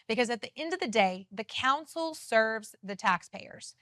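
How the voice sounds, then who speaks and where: A woman with a loud voice, speaking as a city council candidate in an earnest, emphatic TV interview. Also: on the high side, animated, normal speed, no room sound, clean studio sound. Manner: emphatic, earnest campaign talk. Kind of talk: TV studio interview.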